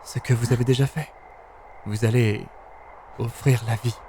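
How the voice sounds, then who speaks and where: A man's voice: mid-range voice, fairly even intonation, background noise, lightly acted. Man acting a composed, menacing official, cavernous reverb. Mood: calm menace. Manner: measured, formal, coldly assured. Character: composed, menacing male authority of a secret project. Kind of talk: French audio drama acting. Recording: long echoing reverb, loud music behind.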